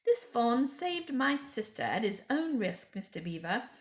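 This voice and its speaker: A woman's voice: on the high side, big pitch swings, a little slow, voice pushed up. Woman acting an urgent, earnest schoolboy, echoey room. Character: earnest, impulsive, brave schoolboy. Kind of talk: children's storybook character voice.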